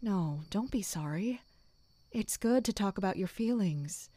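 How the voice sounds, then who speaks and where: Casting: composed, guarded, caring woman for audio drama, unhurried delivery, mid pitch, dry close sound. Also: no room sound. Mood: gentle, concerned. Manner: calm, measured, gentle. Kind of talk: audio drama acting with first-person narration.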